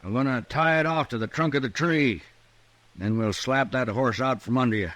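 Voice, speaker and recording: A man's voice: mid-range voice, moderate pace, a bit bright, no room sound, lightly acted. Menacing frontier lynch-mob rancher, acted by a man. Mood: taunting, callous. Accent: American. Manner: curt, taunting. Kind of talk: 1950s radio western acting.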